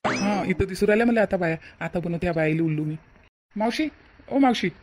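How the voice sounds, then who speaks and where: A woman's voice: medium pitch, a little fast, darkish tone, a little hiss or hum, close to an everyday voice. A woman voicing a sly young male swindler in a Marathi cartoon, with a rural Vidarbha accent and pushy, reassuring patter. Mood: scheming. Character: sly young male con man, persuasive, falsely reassuring. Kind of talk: Marathi cartoon moral-story dialogue.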